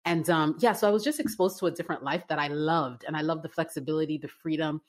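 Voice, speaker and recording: A woman from Canada in her forties, a business-owner guest telling her story in a relaxed interview, with lots of fillers and restarts. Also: low voice, quick pace. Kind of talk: casual interview conversation.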